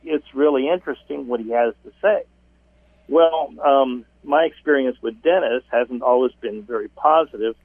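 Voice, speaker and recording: A man whose first language is Italian, a guest on a radio show, telling his story in a halting, amused way. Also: medium pitch, no room sound. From United States. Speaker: guest, dental patient. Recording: tinny phone-like line.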